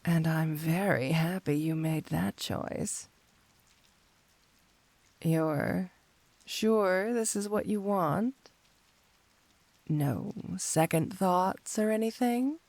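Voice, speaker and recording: Slow, creaky, flirty supervillainess, acted by a woman. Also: fairly low pitch, no room sound, heavily acted.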